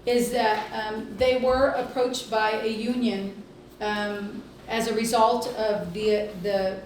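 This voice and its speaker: Female executive, fairly high voice, halting board-meeting report, very echoey room with distant mic. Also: little pitch movement, slight background noise. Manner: hesitant, halting delivery with long pauses. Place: indoor public meeting room.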